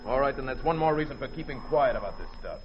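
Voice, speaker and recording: A man acts a cynical, crooked seaman in an old radio drama. His talk is slangy and sardonic, swinging widely in pitch. The recording is muffled and a little noisy. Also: expressive, fast talker, lightly acted. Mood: greedy, suspicious. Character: cynical, greedy male sailor, a killer. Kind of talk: 1940s radio acting.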